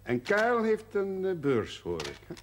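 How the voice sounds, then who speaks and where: Amiable persistent businessman, lively melody, conversational, naturalistic seventies TV drama, faint hiss. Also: high-pitched, moderate pace. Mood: amiable, chatty. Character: amiable, persistent businessman, expectant father, old rival. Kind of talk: naturalistic television drama acting. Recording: faint noise, 1970s television soundtrack.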